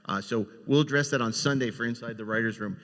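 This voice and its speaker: A man's voice: mid-range voice, fast, a bit bright. Man moderating in a reverberant hall. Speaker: panel moderator. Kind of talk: informal live panel moderating. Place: large indoor panel room. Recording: reverberant live panel hall.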